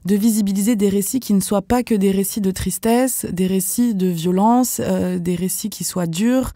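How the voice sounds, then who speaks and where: A young woman from France, a professional dancer, speaking candidly as a podcast guest, casual and animated, with lots of fillers and slang. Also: medium pitch, normal speed, no echo, clean studio sound. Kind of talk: podcast interview.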